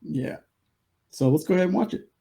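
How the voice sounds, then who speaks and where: Dude, soft mellow tone, slightly high voice, casual reaction chat. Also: male voice, lively intonation, a little fast, creaky. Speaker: video show host.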